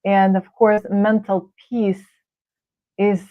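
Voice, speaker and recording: A middle-aged woman with a Russian accent, a guest coach answering thoughtfully with frequent pauses and fillers. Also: medium pitch, little pitch movement. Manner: thoughtful, halting with many fillers. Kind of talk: casual interview answers. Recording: tinny phone-like line.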